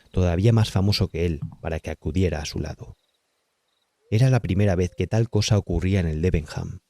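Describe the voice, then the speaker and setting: A man's voice: low-pitched, mellow. A man with a Castilian Spanish accent narrating a horror audiobook in a scripted, dramatic storytelling style.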